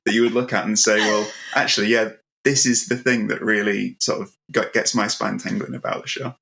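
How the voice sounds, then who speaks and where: A man's voice: fairly low pitch, steady tone, brisk, gravelly, sharp tone, slight background noise, low-quality recording. A man hosting a conversational interview, putting his questions in an appreciative, roundabout way. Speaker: interviewer, podcast host.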